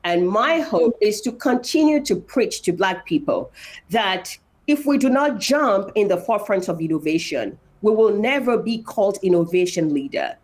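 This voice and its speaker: Interview guest: Nigerian-accented woman, mid-pitched, emphatic and motivational, leaning on repetition. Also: lively intonation, moderate pace, darkish tone. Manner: emphatic, repeats phrases for stress. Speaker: interview guest, engineer and foundation founder. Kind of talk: personal, motivational interview talk.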